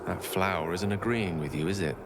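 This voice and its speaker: Proud wry wizard from fantasy game, low voice, articulate, clear background ambience. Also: male voice, fairly quick, very noisy. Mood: wry unease. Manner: wordy, droll, dignified. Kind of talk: fantasy video game acting.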